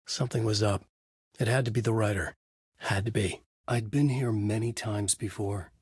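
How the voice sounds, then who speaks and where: A haunted male horror writer narrates a video game's story in a measured, brooding way. His voice sits low and has a husky edge. It was recorded dry in a studio booth. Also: steady tone, darkish tone, no room sound. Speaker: video game character narrating. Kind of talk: game voice lines, dark narration. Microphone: studio mic.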